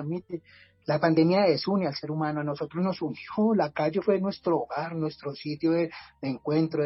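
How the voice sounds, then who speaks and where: A man's voice: on the high side, husky, dry recording, lo-fi sound. A man from Bogotá with a Colombian accent, sharing his personal story earnestly and reflectively as a podcast guest, often restarting his words. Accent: Bogotá Colombian Spanish. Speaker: guest, community project leader. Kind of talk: personal testimony in a podcast conversation.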